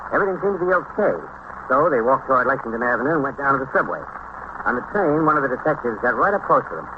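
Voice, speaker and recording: An actor playing a determined detective captain, reporting cases in a clipped, matter-of-fact way in a 1950s radio drama. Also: male voice, medium pitch, natural voice. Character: determined male safe squad detective captain. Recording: noisy old radio recording, dull band.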